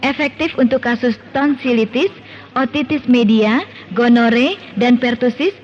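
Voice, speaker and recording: A woman's voice: fairly high pitch, measured pace, close to an everyday voice. An actress playing a keen, earnest woman doctor making a persuasive case in a scripted radio advertisement. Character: female doctor, keen, persuasive product advocate. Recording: dull radio recording with faint noise.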